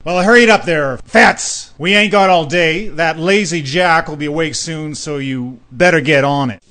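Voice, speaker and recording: A man's voice: fairly high pitch, moderate pace, sharp tone. An actor playing a cocky, greedy, bossy crime boss in a comic radio play, snapping insults at his underling. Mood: impatient.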